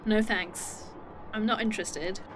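A woman's voice: mid-range voice, steady tone, noisy background, close to an everyday voice. An actress playing a snarky, teasing woman time traveler with a fake arm in an indie audio drama. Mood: annoyed. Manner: dry, teasing, quick-witted.

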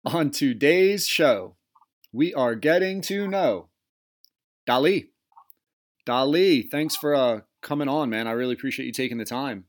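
A man's voice: medium pitch, a little slow. A man hosting a casual interview podcast from home, chatty and laughing often. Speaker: podcast host. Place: home in Delaware.

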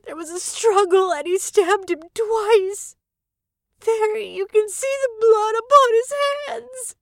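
A woman's voice: a little high, lively intonation, normal speed, dry recording, clean studio sound. A woman voicing a scheming noblewoman who feigns grief and outrage, in a theatrical, tearful way. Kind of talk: dramatic audiobook reading.

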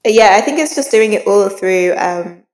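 A woman's voice: mid-range voice, lively intonation, normal speed, darkish tone, low-quality recording. A woman novelist being interviewed, explaining her craft in an informal, conversational way.

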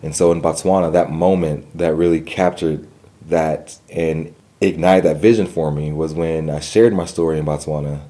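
A man's voice: rumbling low, steady tone, normal speed, dark tone. A young man telling his personal story in an interview, earnest, with a stutter and repeated words. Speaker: guest.

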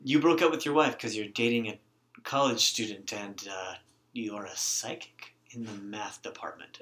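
A man's voice: mid-range voice, a bit bright. An upbeat man hosts a casual podcast, chatting with friends as guests. He jokes around and keeps the talk loose and easy. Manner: upbeat, joking, quick asides. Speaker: podcast host.

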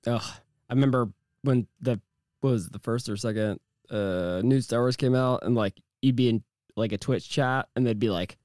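A man with a naturally deep voice, co-hosting a casual podcast chat, jokey and crude with lots of halting fillers. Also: darkish tone, no echo, studio quality. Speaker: podcast co-host.

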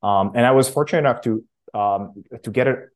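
A man, answering interview questions in a casual, halting way with many restarts. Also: medium pitch. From Romania. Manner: halting, frequent self-restarts and fillers. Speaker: startup founder guest.

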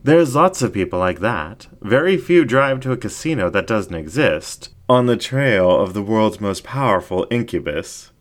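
A man voicing a seductive, haughty fox incubus ringmaster in a story, with a drawn-out, theatrical, teasing delivery. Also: on the low side, lively intonation, voice pushed up, lightly acted. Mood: commanding.

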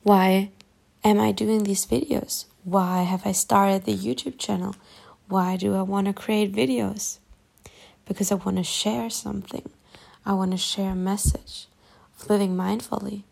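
A young woman with a German accent, German her first language, musing softly and partly whispering while lying in bed at night for her vlog. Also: medium pitch, steady tone, unhurried, gravelly. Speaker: YouTuber. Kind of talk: late-night personal vlog.

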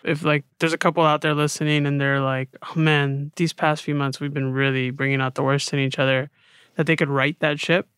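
A man with a slightly high, very bright voice co-hosts an interview. He talks casually and informally, leaning on filler words like "like". His tone is clean and smooth. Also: level tone, fairly quick, dry recording, studio quality. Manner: informal, frequent 'like'. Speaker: podcast co-host, interviewer.

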